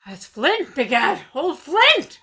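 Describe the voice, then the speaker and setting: A woman plays a superstitious pirate deckhand in a radio play. The voice is very sharp and bright, fairly high, full of fearful salty dialect. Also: huge pitch swings, slow pace, exaggerated voice. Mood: alarmed. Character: superstitious, fearful old-time pirate sailor.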